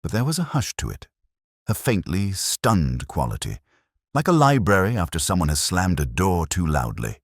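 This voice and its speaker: Really low male voice narrating a detective mystery, measured and solemn but moves around in pitch, dry sound. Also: big pitch swings, no echo. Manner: measured, literary, solemn storytelling. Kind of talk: scripted first-person detective story narration.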